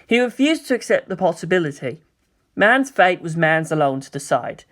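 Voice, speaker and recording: A man's voice: on the high side, very animated, moderate pace, fairly bright tone. A man reading scripted third-person fiction as the narrator of a story.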